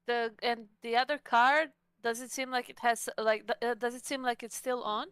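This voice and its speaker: A woman's voice: a little high, fairly even intonation, moderate pace, a bit bright, clean tone, no room sound. A woman playing a tabletop roleplaying game, talking casually through plans with the group. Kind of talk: casual in-game planning chat.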